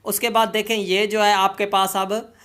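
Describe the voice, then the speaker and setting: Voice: mid-range voice, little pitch movement, moderate pace, fairly bright tone, clean tone. A tutorial host giving casual step-by-step how-to narration, often addressing viewers as friends and leaning on repeated filler phrases.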